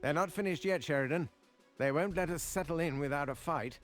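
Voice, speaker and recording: A man's voice: on the high side, a little fast, lightly acted. An actor playing a genteel British army officer who briefs his colonel in a video game, proper and drily understated. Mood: brisk, advising. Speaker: military adviser in a war game. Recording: loud music under the voice. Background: loud game music.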